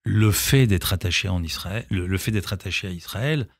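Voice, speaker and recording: A middle-aged man with a low voice is a guest on a radio show. He talks in halting bursts, restarting phrases before driving a point home with emphasis. The sound is dry and close, like a studio mic. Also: no echo. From Israel, grew up in France. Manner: hesitant, many restarts, then emphatic. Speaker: film director and actor, guest. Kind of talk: radio interview answers.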